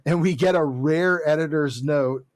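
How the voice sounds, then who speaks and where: A man's voice: on the high side, measured pace, no echo. Man, podcast co-host, casual recap chat, jokey and profane, dry close sound.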